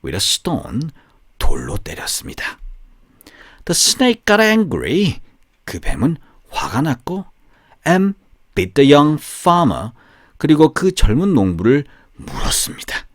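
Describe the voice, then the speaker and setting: A male audiobook narrator with a husky voice tells a fairy tale for language learners. His intonation is highly animated, yet he goes deliberately phrase by phrase. The sound is dry and close. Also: no echo. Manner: deliberate, phrase-by-phrase, storytelling delivery.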